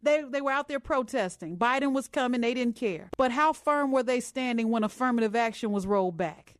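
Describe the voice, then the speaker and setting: A woman's voice: fairly high pitch, animated, brisk. A woman hosting a radio talk show in a chatty, conversational way, speaking directly to her listeners.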